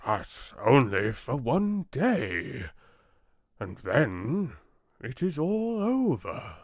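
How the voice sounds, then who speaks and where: A man's voice: mid-range voice, wildly swooping pitch, extremely slow, dry recording, clean studio sound, full character voice. A man voicing a huge ancient oak tree in a storybook, grave, pitying and patient, with a ponderous, sorrowful delivery. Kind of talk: storybook character voice.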